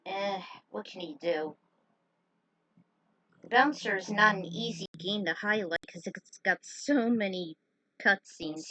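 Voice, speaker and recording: A woman's voice: mid-range voice, a bit bright, low-quality recording. A woman narrating a story, slipping into informal, chatty asides in a casual way. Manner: informal, chatty commentary between passages. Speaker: fan fiction narrator.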